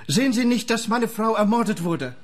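A nervous defensive lawyer. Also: male voice, high-pitched, animated, normal speed. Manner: defensive, indignant.

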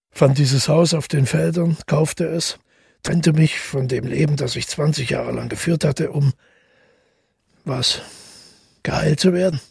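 An actor playing a withdrawn, middle-aged widower who tells his story in a radio drama, husky and gravelly, reflective and measured. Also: on the high side, darkish tone, dry recording, clean studio sound, heavily acted. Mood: bitter, confessional. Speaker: narrator and protagonist. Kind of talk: literary radio drama monologue.

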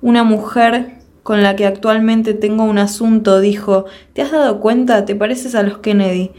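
A woman reciting a long first-person poem in a measured, declamatory way, full of rhetorical questions. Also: mid-range voice, fairly even intonation, darkish tone. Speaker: poetry reader. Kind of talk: dramatic poetry recitation.

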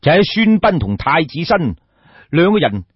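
A man's voice: a little high, very animated, normal speed, husky, no room sound. A man with a Cantonese accent narrating a folk tale as a storyteller, in a dramatic scripted style. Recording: dull, muffled lo-fi audio.

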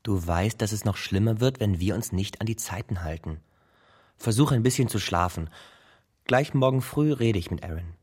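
An actor playing a dutiful, restless young man in a radio drama, speaking quietly and earnestly with a breathy voice. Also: on the low side, normal speed. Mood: soothing. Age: young adult. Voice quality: breathy, husky. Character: Patrick, dutiful, restless young son caring for mother. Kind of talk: naturalistic German radio drama acting.

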